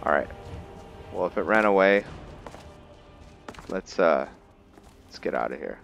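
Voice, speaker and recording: A man giving unscripted roleplay commentary over a video game, halting and deliberate, with long pauses. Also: low voice, animated, gravelly, fairly bright tone, highs cut off, faint music behind. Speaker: gamer hosting a roleplay playthrough.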